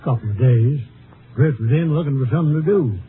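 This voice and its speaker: A man's voice: fairly high pitch, brisk, close to an everyday voice. Folksy rancher on crackly radio man acting. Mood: recalling. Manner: folksy, drawling grammar, hesitant recall. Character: plainspoken, slightly wary male Texas rancher. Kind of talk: 1950s radio drama acting. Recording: noisy old radio recording, narrow band.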